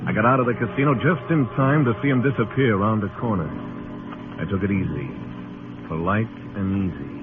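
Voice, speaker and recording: Actor as a low-voiced, laconic Western marshal. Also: male voice, a little fast, natural voice. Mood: terse, watchful. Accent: American. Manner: terse, dry. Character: laconic, tough Old West marshal. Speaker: lead actor and narrator in a radio western. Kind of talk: hard-boiled old radio drama narration and dialogue. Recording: tinny old radio recording with music.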